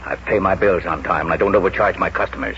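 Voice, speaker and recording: A man's voice: sits low, fast, pushed and bright. An American actor playing a huge, slyly sinister middle-aged man in a 1940s radio drama, dry and evasive. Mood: dry, sardonic. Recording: dull, noisy 1940s radio recording.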